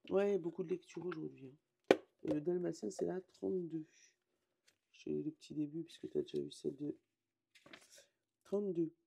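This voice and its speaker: Hoarse gravelly woman reading cards. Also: lowish voice, dark tone. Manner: rambling, self-correcting, reads card meanings aloud. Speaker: tarot and oracle card reader. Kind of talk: casual daily card reading.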